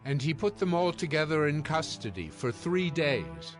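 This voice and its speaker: A man narrating scripture from a script in a measured, solemn manner. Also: a little high, very animated. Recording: music behind the voice.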